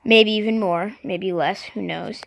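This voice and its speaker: A vlogger casually showing off a collection, speaking unscripted and haltingly, often stopping to clear the throat. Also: mid-range voice, steady tone. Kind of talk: casual unscripted show-and-tell.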